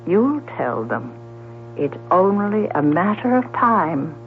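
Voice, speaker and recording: An actress playing a composed, morally firm sister in a 1970s radio drama, speaking deliberately. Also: female voice, on the low side, very animated, a little hiss or hum, full character voice. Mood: grave, firm. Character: calm, morally firm, patient wheelchair-bound sister. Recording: tinny, humming old radio broadcast.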